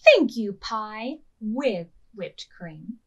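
A woman's voice: medium pitch, sing-song, very slow, lo-fi sound. A woman in her thirties hosting a storytime as a children's librarian, talking expressively to small children as she reads aloud and gives simple instructions. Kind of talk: read-aloud and instructions for preschoolers.

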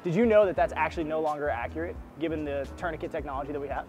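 A man in his thirties teaching strangers first aid in an indoor shopping mall, energetic and coaching, checking in with "right?" as he goes. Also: fairly high pitch, rapid-fire, faint music behind. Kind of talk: street demonstration teaching.

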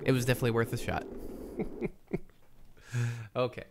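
A man's voice: medium pitch, moderate pace, gravelly, heavy background noise. A man with a congested, nasal voice giving casual commentary while he plays a game, chatting to himself and reacting aloud. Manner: chatty, self-talking, reacts aloud to gameplay. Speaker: gaming video host. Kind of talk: casual let's-play commentary. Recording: loud game music behind voice.

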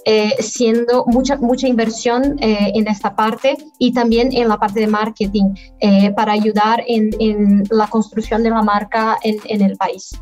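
A woman in her thirties, a guest affiliate manager, answering interview questions in a measured, halting way over a webcam video call. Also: a little high, steady tone, darkish tone, soft background music. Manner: measured, halting delivery with frequent pauses. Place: indoor room at home, by video call.

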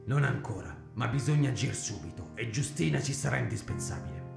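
A man acting a resolute, mature schemer in a radio drama, gravelly, measured and authoritative. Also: mid-range voice, animated. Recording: very echoey room, guitar music behind.

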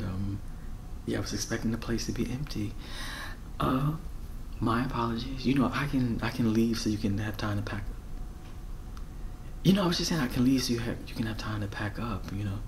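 An American man in his thirties doing a casual ASMR roleplay as a friendly, flustered rental host, soft-spoken, halting and apologetic. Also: on the low side, a little hiss or hum, low-quality recording. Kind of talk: ASMR roleplay, casual one-sided conversation. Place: bedroom, indoors.

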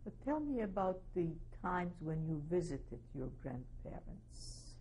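A woman's voice: lowish voice, big pitch swings, measured pace, warm tone, breathy edge, poor audio. A woman conducting a formal oral history interview, prompting politely and steadily.

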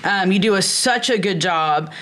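A woman's voice: medium pitch, moderate pace, fairly bright tone. A woman hosts a casual interview in an encouraging, affirming way. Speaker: podcast host. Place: small indoor podcast studio. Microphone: close studio microphone on table stand.

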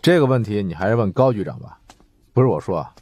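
Gruff, dismissive male boss, middle-aged played by a male narrator; audiobook, mellow but raspy, condescending drawl. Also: on the low side, lively intonation, fast.

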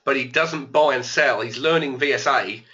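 A man hosts a live online trading session, thinking aloud as he works through his trades. His voice is a little hoarse but bright and forceful. The webinar audio sounds dull and lo-fi. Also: medium pitch, normal speed. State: losing his voice. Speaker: trading educator. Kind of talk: live trading room commentary.

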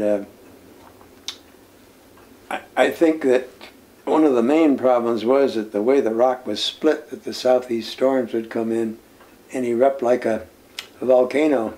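An older man, a former lighthouse keeper, recounts his service in an unhurried interview, pausing often with "uh" and soft chuckles. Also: mid-range voice, low-quality recording. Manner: unhurried storytelling with many "uh" pauses and soft chuckles. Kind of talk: reminiscing interview answers. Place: indoor room lined with nautical memorabilia. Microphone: seated interview, camera at medium distance.

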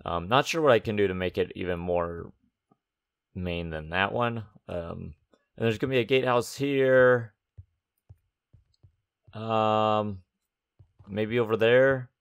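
A man with a slightly raspy voice, recovering from losing it, giving casual, unscripted gaming commentary as he thinks aloud. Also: deep voice, no room sound, studio quality. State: recovering from a lost voice. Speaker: host of a gaming let's-play series. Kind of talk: casual game build commentary.

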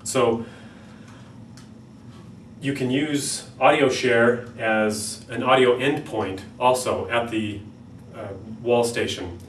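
A North American man in his thirties explains a product in a medium-pitched voice, pausing and searching a little as he goes. He is recorded indoors some distance from the microphone, so the room rings around his voice. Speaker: company product presenter. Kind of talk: product demonstration talk. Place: small plain-walled room indoors. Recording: roomy, distant mic, faint background noise.

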